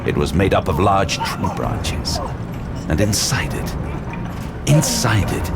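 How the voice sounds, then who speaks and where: A man's voice: low-pitched, big pitch swings, slow, gravelly, loud music behind the voice, full character voice. A man playing a grave, intense demon hunter, narrating his own battle in a suspenseful audio drama. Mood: grim, shaken. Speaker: lead character and narrator. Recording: loud dramatic score and effects behind.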